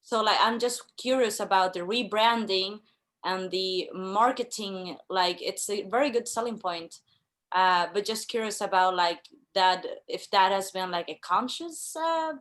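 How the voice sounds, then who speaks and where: A woman from Chile asking a question from the audience, speaking haltingly with many fillers. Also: mid-range voice, fairly bright tone. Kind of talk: conversational question.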